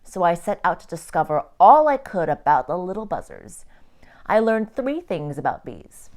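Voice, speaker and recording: A woman reading a children's picture book aloud like a teacher, with expressive, deliberate phrasing for young listeners. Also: lowish voice. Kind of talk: children's storybook read-aloud.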